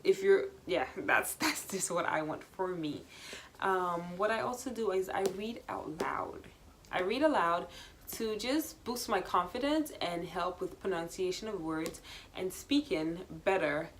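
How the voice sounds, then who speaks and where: Young woman, fast chatty vlog talk, lowish voice, faint room hum. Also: animated. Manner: fast, chatty, laughing, lots of ums and repeats. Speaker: vlogger. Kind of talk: casual talk to camera.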